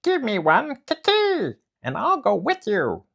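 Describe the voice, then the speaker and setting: A man voicing a mischievous male monkey in a storybook, chattering with squeaky cries. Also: huge pitch swings, a little slow, creaky, fairly bright tone, full character voice. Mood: chirpy.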